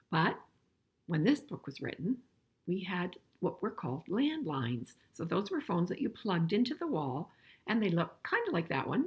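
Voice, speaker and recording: An older woman reading a picture book aloud to children in an expressive way, pausing to chat and ask them questions. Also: mid-range voice, breathy edge. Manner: expressive, asking listeners questions as she goes. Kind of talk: children's storybook read-aloud with conversational asides and questions.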